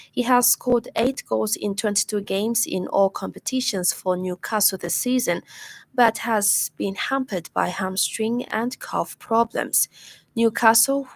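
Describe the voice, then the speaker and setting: A woman with a Zambian accent presents scripted news on radio in a formal, measured manner. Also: mid-range voice, poor audio. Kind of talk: scripted news bulletin reading. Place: radio studio.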